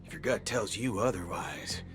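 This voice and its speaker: An actor playing a folksy, swaggering space cowboy in a video game, with a theatrical American Southern drawl. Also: male voice, low voice, expressive, normal speed, over music. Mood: easygoing. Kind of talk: video game character acting.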